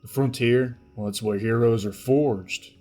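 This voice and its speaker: A man's voice: mid-range voice, unhurried, a little mellow, faint music behind. A man giving dramatic, scripted narration for a fantasy podcast trailer.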